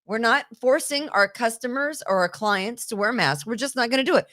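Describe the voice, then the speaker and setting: A woman hosting a political talk show, talking in an indignant, rapid way and often repeating herself. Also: on the high side, animated, a bit bright. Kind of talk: opinionated political chat. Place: New York.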